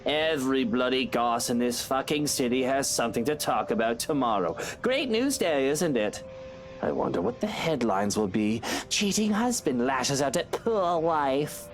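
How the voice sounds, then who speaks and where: Man acting a sneering British rich husband, wildly swinging pitch. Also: sing-song, moderate pace, a little hiss or hum, natural voice. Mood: sneering sarcasm. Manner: sneering, sarcastic, theatrical. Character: arrogant, furious, foul-mouthed wealthy husband. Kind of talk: video game voice acting. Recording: background music.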